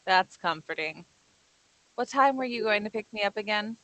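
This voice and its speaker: A woman acts a young woman in a horror drama, sarcastic and full of bravado. Her talk is conversational, at a middle pitch, recorded dry with no room sound. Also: lively intonation, moderate pace, pushed and bright, no room sound, rough recording. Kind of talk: table read of a horror film script.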